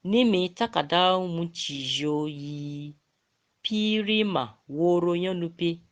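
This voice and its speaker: A man's voice: high voice, takes it slowly. A man in his thirties from Nigeria, a lecturer giving a prepared statement. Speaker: university lecturer.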